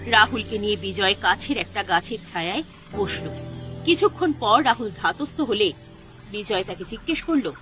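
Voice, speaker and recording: A woman's voice: mid-range voice, animated, moderate pace, bright, forceful tone, soft background music. A woman narrator reading a scripted horror story in a dramatic style.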